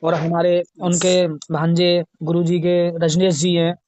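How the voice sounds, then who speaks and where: A man's voice: a little high, flat delivery, takes it slowly, a little mellow, slight background noise. A man talking casually in a recorded phone conversation. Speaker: religious storyteller and singer with a studio. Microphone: phone call. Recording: dull lo-fi recorded phone call.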